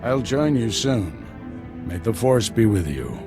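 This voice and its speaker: A man playing a seasoned, middle-aged Jedi master in a video game, mentoring his apprentice in a measured, plainspoken way. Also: lowish voice, very animated, music in the background. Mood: calm, frank.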